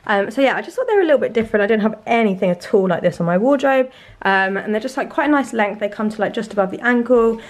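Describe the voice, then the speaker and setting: Chatty young woman, slightly hoarse. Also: fairly high pitch, lively intonation, quick pace. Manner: chatty, lots of "um" and "like". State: slightly sore throat from a cold. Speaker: vlogger. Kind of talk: casual chat to camera. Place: bedroom at home, indoors. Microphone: handheld camera, arm's length or mirror.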